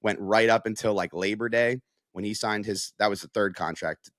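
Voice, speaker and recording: A man's voice: fairly low pitch, moderate pace, a bit bright, dry recording, studio quality. An American man giving casual, conversational sports analysis as a reporter, with frequent filler phrases like "you know".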